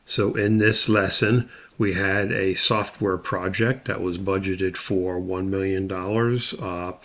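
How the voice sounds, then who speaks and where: A man giving an explanatory slide lecture as an instructor, often pausing on filler sounds. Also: low voice, steady tone, a bit bright. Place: voice-over recorded over slides.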